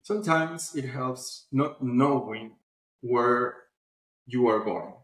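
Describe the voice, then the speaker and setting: A man speaking as an answers interview questions in an informal, explanatory way. His voice sits at an average pitch. The sound is noticeably roomy and echoey. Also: slow pace, fairly bright tone. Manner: informal, explanatory, often adds "you know". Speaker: guest, publishing platform founder.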